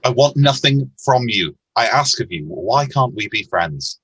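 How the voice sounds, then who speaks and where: A man playing a good-hearted, forgiving nephew in an amateur radio play, earnest and pleading, with a husky voice. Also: medium pitch, lively intonation, measured pace, fairly bright tone, dry recording, poor audio. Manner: earnest, pleading, hesitant starts.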